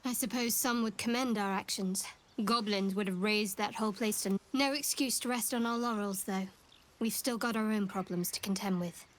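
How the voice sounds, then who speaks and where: An actress playing a guarded, wry young cleric of a dark goddess in a video game, speaking in a measured, reserved way. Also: female voice, medium pitch, close to an everyday voice, poor audio. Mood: wry, warm. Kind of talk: video game character dialogue.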